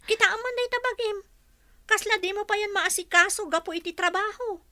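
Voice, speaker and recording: A woman playing a sharp, high-voiced nosy meddler. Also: a little fast, no echo, clean studio sound. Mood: needling, judgmental. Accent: Ilocano. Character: nosy, needling older woman, disapproving and preachy. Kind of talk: radio drama acting.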